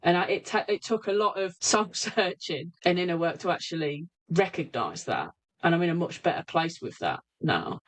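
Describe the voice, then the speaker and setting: A woman's voice: on the low side, animated, normal speed, hardly any pauses, poor audio. A woman, a hypnotherapist guest on a remote podcast, talking candidly and often restarting her sentences. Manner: candid, self-correcting, frequent restarts. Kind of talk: reflective interview talk. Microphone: remote call recording.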